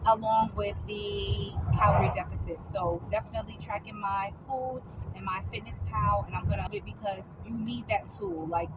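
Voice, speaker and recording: A woman's voice: medium pitch, very noisy. Chatty woman in her forties vlogging. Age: 40s. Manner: chatty, upbeat, talks straight to viewers. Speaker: fitness vlogger. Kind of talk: casual vlog chat. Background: loud music.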